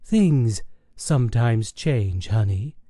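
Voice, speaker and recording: A man's voice: mid-range voice, lively intonation, takes it slowly, warm tone, no room sound. A man voicing a wry, knowing mother in an audiobook, with a dry, teasing manner. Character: wry, knowing mother gently teasing her teenage daughter. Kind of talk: audiobook character dialogue.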